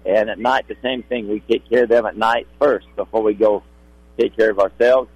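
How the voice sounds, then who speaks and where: A man's voice: on the low side, steady tone, moderate pace, a little mellow, breathy edge, highs cut off. A middle-aged man with a polite, folksy way of talking, a guest in a casual radio interview. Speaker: rodeo contractor guest.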